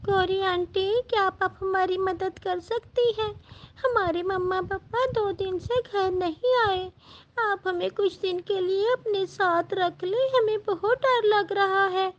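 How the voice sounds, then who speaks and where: Young cartoon boy bird, very high, earnest, polite, pleading, muffled sound, acted by a woman. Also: slow pace. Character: young boy chick, protective polite big brother.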